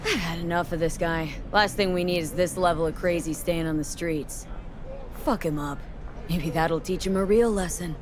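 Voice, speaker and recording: Woman as a low-voiced, sardonic streetwise mercenary. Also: normal speed, noisy background, lightly acted. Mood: sceptical. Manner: casual, sardonic, blunt. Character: streetwise female mercenary, sceptical, wry, tough. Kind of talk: video game voice acting.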